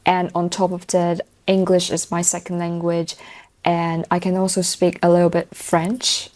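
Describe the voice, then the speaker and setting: Fluent woman with a lowish voice. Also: completely flat delivery, unhurried, a little mellow. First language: Cantonese. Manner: fluent, informal, uses slang. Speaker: IELTS speaking candidate. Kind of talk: answering interview questions.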